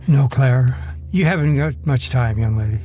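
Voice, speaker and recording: A man playing a patient, doubtful doctor in an audio drama, speaking in a gentle, hesitant way. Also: medium pitch, moderate pace, faint music behind, natural voice. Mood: patient, soothing.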